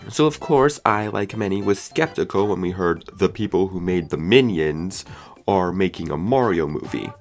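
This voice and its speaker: Man, deep voice, animated intonation, conversational scripted reviewer, opinionated, background music. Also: normal speed. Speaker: video reviewer. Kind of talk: scripted movie review commentary.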